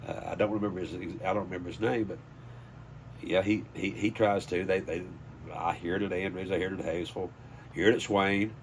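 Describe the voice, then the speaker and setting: Older Southern man, low folksy voice. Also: fairly even intonation, a little fast, slight background noise. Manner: folksy, anecdotal. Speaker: high school sports PA announcer. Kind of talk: casual interview storytelling.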